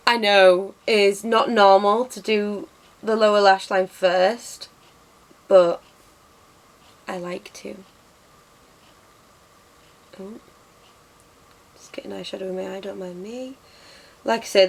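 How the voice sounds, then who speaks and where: A young woman, a little hoarse from losing her voice, chatting casually and self-mockingly through a makeup tutorial at her desk. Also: mid-range voice, rough recording. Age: young adult. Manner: chatty and self-mocking, lots of ums and restarts. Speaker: beauty vlogger. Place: bedroom with bookshelves, indoors.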